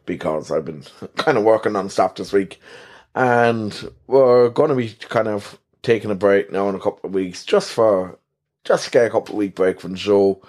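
A man's voice: low voice, darkish tone. Chatty Irish man hosting a podcast. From Ireland. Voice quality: soothing voice. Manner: rambling, self-deprecating, chatty with fillers. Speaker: podcast host. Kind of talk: casual solo podcast chat.

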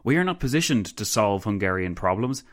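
A man's voice: fairly low pitch, big pitch swings, fairly quick. A man voicing a weary, exasperated statesman who formally and firmly chairs a council in a narrated story. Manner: formal, firm.

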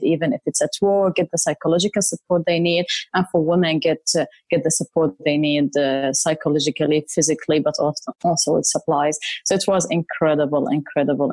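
A woman's voice: deep voice, darkish tone, no room sound, poor audio. A woman in her thirties who grew up in Syria, speaking as an interview guest, enthusiastic and repeating words for emphasis. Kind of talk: interview conversation.

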